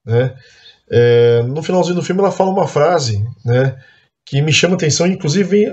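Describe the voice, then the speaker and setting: A man's voice: mid-range voice, mellow, highs cut off. Earnest Brazilian man teaching Sunday school. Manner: conversational, frequent tag questions and fillers; earnest, admonishing. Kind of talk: church Bible class teaching.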